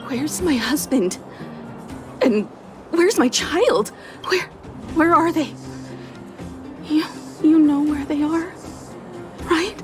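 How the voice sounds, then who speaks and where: A woman playing a distraught, confused woman in a video game, halting and repeating pleading questions. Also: high-pitched. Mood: lost, pleading. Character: distraught, confused woman searching for lost family. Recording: music under the voice. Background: prominent music.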